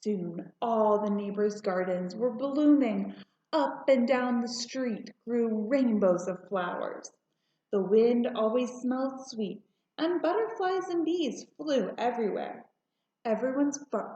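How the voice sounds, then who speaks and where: A woman narrating a children's storybook aloud in a deliberate, expressive way. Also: fairly high pitch. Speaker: storybook reader. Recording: roomy, slightly muffled home recording.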